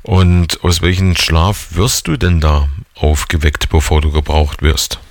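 Deep gravelly radio host. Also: male voice, steady tone, unhurried, dry recording. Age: 40s. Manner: chatty, teasing questions. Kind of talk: casual radio interview.

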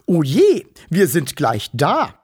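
Fussy, cooing fat lady, high sing-song, husky tone, done by a man. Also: wildly swooping pitch, takes it slowly, full character voice. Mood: fussing. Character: fat silly doting woman, middle-aged. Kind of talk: audiobook character voice.

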